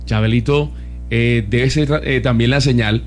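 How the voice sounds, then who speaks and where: A man's voice: medium pitch, steady tone, a little slow. A man with a Colombian accent, co-hosting live radio in casual banter. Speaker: radio co-host. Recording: music playing behind the voice. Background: background music.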